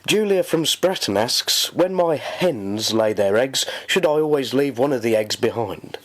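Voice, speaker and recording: A man's voice: mid-range voice, expressive, a little slow, fairly bright tone, no echo. A man, reading scripted links and questions as a radio presenter.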